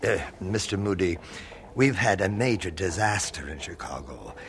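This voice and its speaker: An actor playing a cautious, courteous 19th-century foundry owner in an audio drama, speaking formally and deliberately. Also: male voice, low voice, animated, a little hiss or hum. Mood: reluctant, astonished.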